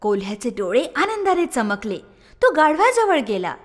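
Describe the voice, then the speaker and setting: A woman narrating a children's moral story in an expressive, sing-song storytelling manner. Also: sits high, normal speed. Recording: long reverb.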